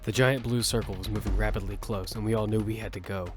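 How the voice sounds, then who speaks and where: A man narrating an audio drama in first person as its lead, telling the story with quick urgency over music. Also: fairly low pitch, steady tone, natural voice.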